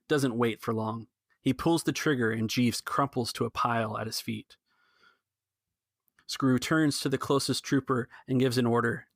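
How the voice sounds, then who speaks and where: A man's voice: medium pitch, creaky, fairly bright tone, no echo, clean studio sound. A man hosting a tabletop role-playing game as game master and narrator.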